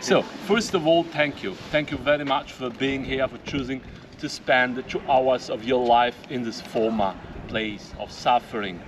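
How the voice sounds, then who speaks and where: A man's voice: mid-range voice, unhurried. A man from Italy with an Italian accent, guiding a group through a former prison and explaining things directly. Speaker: tour guide. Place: former prison, partly outdoors. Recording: echoey, distant, noisy.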